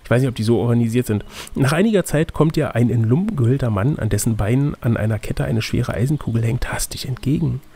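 A man's voice: medium pitch, expressive, fast, mellow, breathy edge. A man narrating an adventure gamebook as game master and chatting casually with his co-player. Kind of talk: reading a gamebook aloud, with casual banter.

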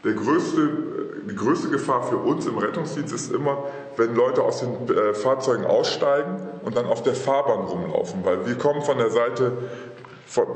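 A man's voice: medium pitch, normal speed. A middle-aged man, an emergency service chief, gives an explanatory demonstration in a meeting room. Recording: echoey, reverberant room.

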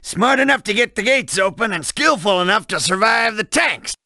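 A man voicing a brash, gruff, commanding military instructor in a video game. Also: high-pitched, animated, moderate pace, sharp tone, no room sound, studio quality, exaggerated voice. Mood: gruff, approving. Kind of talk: video game character dialogue.

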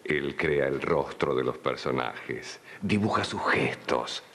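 A man's voice: rumbling low, lively intonation, slow pace, creaky, fairly bright tone, a little hiss or hum. A middle-aged Argentine man reading scripted, dramatic narration into a studio microphone.

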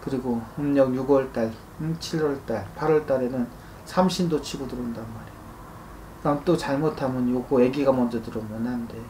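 A man's voice: mid-range voice, a little fast, mellow. Blunt male fortune-teller in a roomy space. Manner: blunt, admonishing, often prompting with short questioning grunts. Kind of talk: spoken consultation, informal advice. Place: small indoor consultation room. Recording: roomy echo, faint background noise.

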